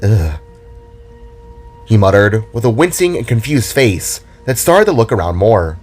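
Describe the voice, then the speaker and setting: A man narrating a scripted story in a dramatic, deliberate and theatrical way. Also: lowish voice, very animated, a little mellow, soft background music. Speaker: narrator and author.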